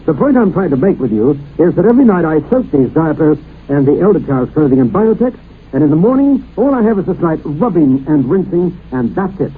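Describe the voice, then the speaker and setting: A man announcing an old radio advertisement, reading a scripted testimonial in a formal, measured way. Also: a little high, very animated. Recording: tinny, noisy old radio recording.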